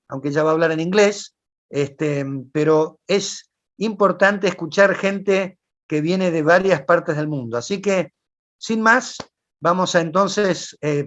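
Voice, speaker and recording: A man hosting an online talk, relaxed and unhurried, with frequent "este" fillers. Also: high voice, lo-fi sound. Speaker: host.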